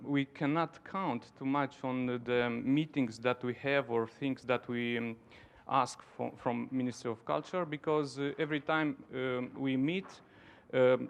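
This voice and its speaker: A man with a Romanian accent speaks at an informal conference in a creaky yet resonant voice. His non-native English comes haltingly, with pauses to search for the right word. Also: mid-range voice, highs cut off. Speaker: dance centre founder, conference participant.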